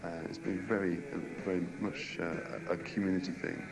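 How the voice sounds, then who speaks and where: A man gives earnest interview answers in a very low voice. It creaks often and stays fairly level, with halting phrasing. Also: flat delivery, over loud music, low-quality recording. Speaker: local pastor and radio station organiser.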